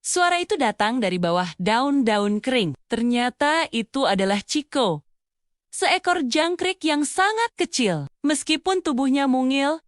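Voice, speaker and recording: A woman's voice: high voice, lively intonation, measured pace, no room sound, clean studio sound. A woman narrating a scripted children's fable as a storyteller.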